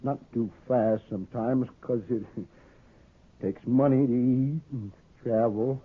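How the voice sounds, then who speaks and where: An actor playing a weary, grimly determined old American farmer in a 1950s radio western, speaking plainly and haltingly. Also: male voice, medium pitch, steady tone, breathy edge. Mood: sorrowful, weary. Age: older. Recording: muffled, narrow-band old radio recording.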